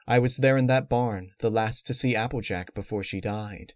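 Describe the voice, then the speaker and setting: A man voicing a cold, calculating female unicorn villain who explains menace in a measured, matter-of-fact way. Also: on the low side, a little mellow, no echo, lightly acted. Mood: calm, grave.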